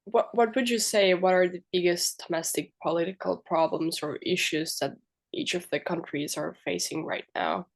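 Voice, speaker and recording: A woman's voice: lowish voice, normal speed. A woman hosting a podcast, asking casual, slightly tentative interview questions in her English. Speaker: podcast host.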